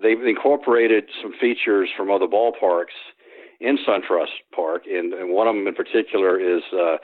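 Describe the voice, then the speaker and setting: A man's voice: sits low, normal speed. A man, a sports historian, answering interview questions by phone, rambling with frequent restarts and fillers. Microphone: telephone. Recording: tinny phone line.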